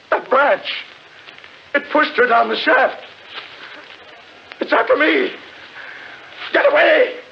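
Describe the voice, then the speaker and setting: An actor playing an anxious, haunted gentleman in earnest, theatrical 1940s radio drama style. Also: very high-pitched, vocal fry, exaggerated voice. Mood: panicked. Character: anxious, haunted gentleman survivor of a cursed forest. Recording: dull, faintly noisy 1940s radio recording.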